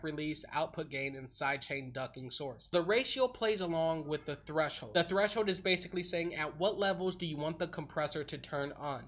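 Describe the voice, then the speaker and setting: A man's voice: fairly high pitch, fairly bright tone. A young man at his home streaming setup, casually explaining audio settings into a desktop microphone, in a plain talking manner. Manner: plain, explanatory, drawls some words. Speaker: streaming tutorial host. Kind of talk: casual screen-recorded tutorial. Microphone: desktop USB condenser mic.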